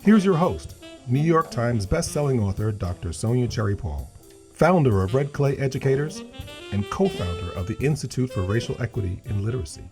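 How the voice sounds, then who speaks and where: A male announcer delivers a polished, scripted introduction. His pitch rises and falls a lot as he stresses each item in a list. Gentle guitar music plays faintly underneath. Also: big pitch swings, brisk.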